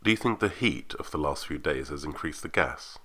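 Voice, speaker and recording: Guy acting as a coroner in an audio drama, really deep, crisp bright tone, formal questions. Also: expressive, fairly quick, full character voice. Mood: formal, probing. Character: formal male deputy coroner questioning witnesses at an inquest.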